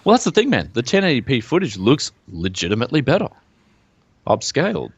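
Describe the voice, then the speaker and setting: A man, co-hosting a casual tech chat in a relaxed, rambling way. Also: a little fast, breathy edge, dry recording. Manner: relaxed and rambling, pitch jumps up when excited. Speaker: co-host. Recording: dull, dry lo-fi sound.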